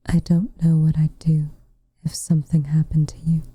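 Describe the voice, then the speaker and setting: A woman playing a tender, protective witch with a dry teasing streak in an intimate ASMR roleplay, speaking softly and breathily, close to the mic. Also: deep voice, flat delivery, dry recording. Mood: tender, concerned. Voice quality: soft, breathy, near-whispered. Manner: gentle, measured, quietly teasing. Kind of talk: intimate ASMR roleplay, scripted character acting. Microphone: close studio mic, dry.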